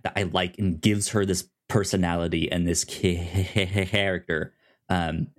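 Male podcast co-host, deep-ish register, unhurried, stop-and-start delivery with repeated words, informal review chat. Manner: halting, repeats words and restarts often.

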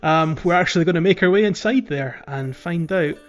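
A man hosting a casual gaming podcast, talking in a halting way and repeating words as he thinks aloud. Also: fairly high pitch. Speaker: podcast host. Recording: slightly muffled audio. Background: faint music.

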